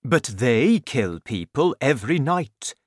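A man's voice: mid-range voice, very animated, slow, dry recording, studio quality. A man voicing an urgent, questioning army chief in a storybook reading. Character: worried military man, head of the army.

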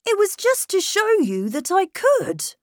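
A woman's voice: extremely high pitch, huge pitch swings, unhurried, no room sound, studio quality, pitched above the performer's own voice, exaggerated voice. A woman voicing a polite, charming young boy who knows he has the upper hand, in an audiobook reading. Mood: polite, insistent. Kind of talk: audiobook character voice.

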